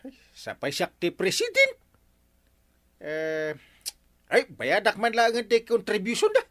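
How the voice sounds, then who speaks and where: A man's voice: sits high, huge pitch swings, unhurried, a bit bright, dry recording, studio quality, full character voice. A man with an Ilocano accent playing a fretful village captain who worries over money, in an Ilocano radio comedy drama. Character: Kapitan Enteng, village captain, fussy.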